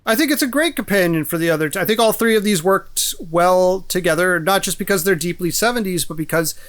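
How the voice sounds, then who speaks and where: A man's voice: high-pitched, brisk, fairly bright tone, no echo. A man co-hosting a podcast, discussing films casually and informally, with some swearing. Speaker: podcast co-host.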